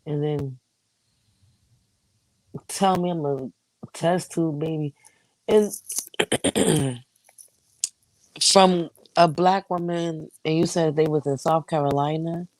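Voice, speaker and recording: A man's voice: mid-range voice, darkish tone, no echo, low-quality recording. A man chatting casually as co-host, incredulous and profane in his reactions. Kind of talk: casual chat.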